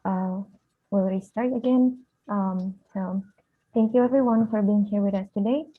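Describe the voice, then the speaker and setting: Young female student presenter from Indonesia, soft and hesitant, on a tinny video-call line. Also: medium pitch, fairly even intonation, normal speed. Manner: soft-spoken, hesitant with frequent fillers. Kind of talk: academic presentation.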